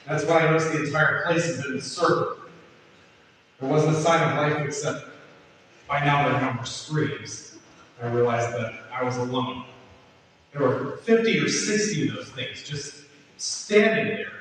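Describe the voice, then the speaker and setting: An actor playing a tense, hardened male survivor who speaks in a measured, blunt way, in 1960s horror film style. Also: fairly high pitch, fairly bright tone. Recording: echoey, distant film audio with faint hiss.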